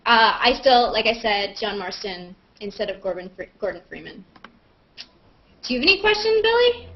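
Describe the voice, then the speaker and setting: A woman's voice: a little high, very animated, moderate pace. A woman chatting casually on a livestream, rambling and self-mocking as she thinks aloud while answering questions. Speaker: actress and vlogger hosting a live Q&A. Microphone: video call on a computer. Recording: dull lo-fi webcam audio.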